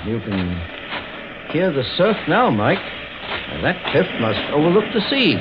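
A man playing a calm, courteous, authoritative private investigator in a mid-century radio drama, speaking in measured, deliberate phrases. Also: fairly high pitch, heavily acted. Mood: composed, inquiring. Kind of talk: 1940s-50s radio drama acting. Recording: muffled old radio recording with hiss.

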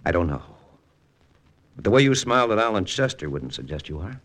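An actor playing a brooding, jealous American lieutenant and undercover agent, terse and clipped, with an American accent, in 1940s-50s radio drama style. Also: male voice, on the low side, sing-song, a little fast, fairly bright tone. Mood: jealous, curt. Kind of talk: 1940s-50s radio drama acting and first-person narration.

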